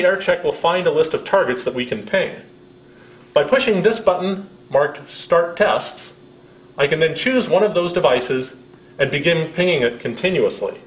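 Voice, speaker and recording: A middle-aged American man presents a scripted demonstration in a roomy-sounding space. His voice sits slightly high and moves with plenty of melody. He explains each step in a friendly, instructional manner. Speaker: product presenter.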